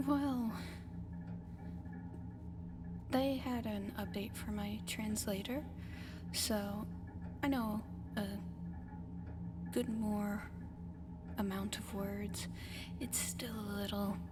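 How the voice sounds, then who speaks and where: A woman voicing a gentle female alien who haltingly searches for human words in an ASMR roleplay addressed to the listener. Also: medium pitch, fairly even intonation. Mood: apologetic, hesitant. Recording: clearly noisy background ambience.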